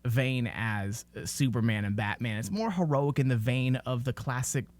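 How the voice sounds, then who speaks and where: A man's voice: mid-range voice, moderate pace, no echo. A man hosting a podcast, talking informally and giving his opinions in a casual discussion. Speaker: podcast host.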